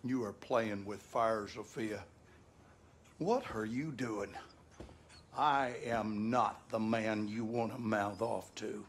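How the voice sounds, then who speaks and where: A man's voice: fairly low pitch, steady tone, slow, a little hiss or hum. An actor playing an abusive, bitter Southern husband and father, coarse and menacing, in a dramatic video game, with a Southern US accent. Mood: bitter, aggrieved. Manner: menacing, coarse, folksy cursing.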